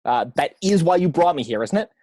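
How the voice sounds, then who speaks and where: A man playing a stiff, fed-up grown man out of his depth, halting and protesting, husky, at an amateur table read. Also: a little high, expressive, dark tone, no echo, natural voice. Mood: confused.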